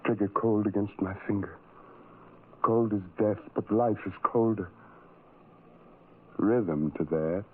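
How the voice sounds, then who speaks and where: A man's voice: lowish voice, takes it slowly, pauses often, a little hiss or hum. Tormented, brooding man, tinny radio. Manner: brooding, incantatory, repeating phrases rhythmically. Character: tormented, suicidal lonely man, self-loathing, brooding. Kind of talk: 1970s radio drama monologue. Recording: tinny, narrow-band old radio recording.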